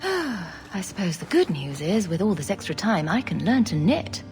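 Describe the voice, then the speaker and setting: A woman's voice: lowish voice, big pitch swings, normal speed, a little mellow, soft background music. A woman playing a dry-witted, sceptical adventurer heroine in a video game, with a sarcastic way of talking. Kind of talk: video game character acting.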